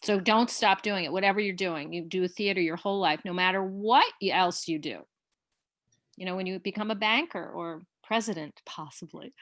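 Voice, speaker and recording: Bright-voiced chatty woman playwright. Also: medium pitch, dry recording, studio quality. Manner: chatty, halting, laughs often. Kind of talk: casual conversation.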